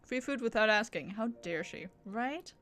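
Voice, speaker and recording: A woman's voice: a little high, animated, normal speed, fairly bright tone. A woman streamer giving casual live gameplay commentary in a playful, rambling way. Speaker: game streamer. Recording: game music behind the voice.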